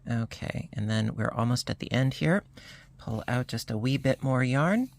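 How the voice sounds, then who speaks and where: A crochet instructor, slightly hoarse, calmly calling out each stitch in a step-by-step tutorial. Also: mid-range voice. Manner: calm, unhurried, talks through each stitch.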